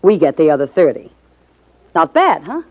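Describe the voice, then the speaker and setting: A woman's voice: sits low, sing-song, normal speed. An actress playing a tough, scheming, resentful gangland woman, hard-boiled and slangy, in a 1950s radio drama. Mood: coaxing. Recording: muffled 1950s radio recording.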